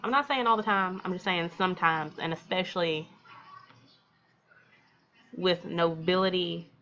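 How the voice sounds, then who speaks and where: A woman's voice: on the low side, measured pace, sharp tone, lo-fi sound. An American woman reading a visual novel aloud and commenting casually as a let's play host. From Texas, United States.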